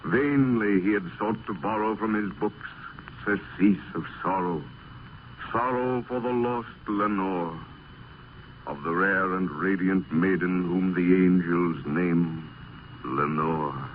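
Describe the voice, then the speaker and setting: A man's voice: sits low, slow. A man narrating as a dramatic reciter of poetry in old-time radio style, solemn and declamatory. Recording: narrow, noisy vintage radio recording.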